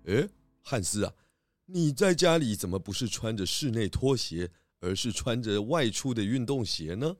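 Male actor cartoon pig detective, lowish pitch, polite, thoughtful, faint music behind. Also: animated, moderate pace, no room sound, studio quality, lightly acted. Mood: gentle, inquisitive.

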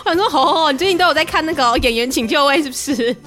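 A woman with a Taiwanese Mandarin accent, co-hosting a casual podcast in a playful, exclamatory way and quick to laugh. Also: high-pitched, lively intonation, a bit bright. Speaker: podcast co-host. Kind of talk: casual banter.

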